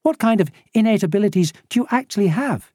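A man's voice: high voice, expressive, darkish tone, dry recording, clean studio sound, voice pushed up. A man voicing an eager, curious child who fires off blunt questions in a storybook reading. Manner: quick, inquisitive.

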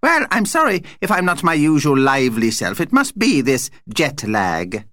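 A man's voice: a little high, wildly swooping pitch, moderate pace, pushed and bright, dry recording, clean studio sound, pitched above the performer's own voice, full character voice. A man voicing a tiny, opinionated male vampire in an audiobook, effusive and given to dramatic exclamations. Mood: chatty, tired.

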